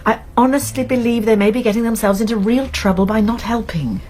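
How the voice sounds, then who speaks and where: A woman's voice: a little high, fairly quick, darkish tone, close to an everyday voice. Earnest, principled British woman sleuth, played by an actress. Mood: concerned, urging. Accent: British English. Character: college nurse, sensible, principled, caring woman amateur sleuth. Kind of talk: British radio drama acting.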